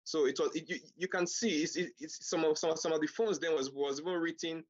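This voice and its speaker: A man's voice: on the high side, fairly even intonation, brisk. A man speaking in a panel discussion, hesitant, with many fillers, restarts and a habit of saying okay. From Nigeria. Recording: muffled online call audio.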